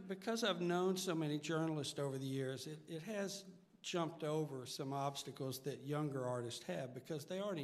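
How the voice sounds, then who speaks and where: A middle-aged or older man, a singer-songwriter, chatting casually as an interview guest. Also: a little high. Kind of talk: casual live interview chat. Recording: live hall reverb, faint music.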